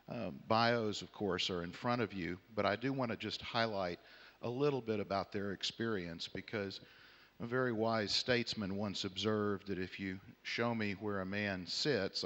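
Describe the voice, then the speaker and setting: A middle-aged man from Texas with a Southern American accent moderates a conference panel, addressing the audience in a measured, courtly, wry way. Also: lowish voice, fairly bright tone. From Houston, Texas, United States. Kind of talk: conference opening remarks to an audience. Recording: echoey hall with long reverb.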